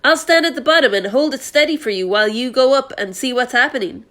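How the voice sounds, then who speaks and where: Woman doing a blustering, bossy police chief. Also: quick pace. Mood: alarmed. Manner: loud, shouting, raised pitch.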